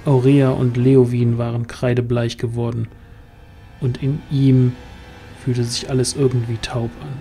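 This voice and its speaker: Male narrator, medium pitch, soft dark timbre, fantasy audio drama, faint music behind. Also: flat delivery, measured pace.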